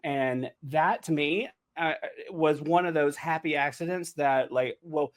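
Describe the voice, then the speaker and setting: A man's voice: a little high, unhurried. A man speaking casually and emphatically as a writer-producer hosting a podcast, often repeating words for emphasis and checking in with "right?". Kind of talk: casual behind-the-scenes podcast conversation.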